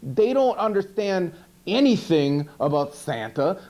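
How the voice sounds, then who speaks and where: A man's voice: sits high, very animated, unhurried, warm tone. A man playing a grumpy, dim monster who hosts a TV horror-movie show, rambling and complaining in exasperated disbelief. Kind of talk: rambling comedic hosted segment. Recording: 1980s VHS TV recording with music.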